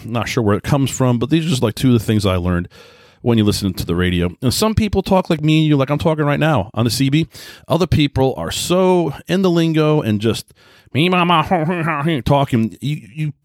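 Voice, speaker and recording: A man's voice: on the low side, darkish tone, no room sound, clean studio sound. A man hosting a casual solo podcast, talking in a rambling, informal, conversational way. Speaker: podcast host. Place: indoors at home by a computer.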